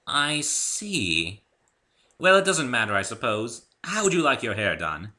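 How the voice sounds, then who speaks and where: A man voicing a refined, gossipy female unicorn fashion designer in a story reading, posh and dramatic with drawn-out emphasis. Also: medium pitch, big pitch swings, bright, forceful tone, voice pushed up, exaggerated voice. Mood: brisk, polite.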